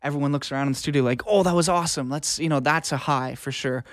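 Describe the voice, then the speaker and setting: Male interview guest, music-engineer type. Smooth, clear tone, very dry recording, informal and sincere delivery. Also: on the high side, fast talker, no echo. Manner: colloquial, earnest, peppers talk with "man" and "like". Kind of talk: casual interview conversation.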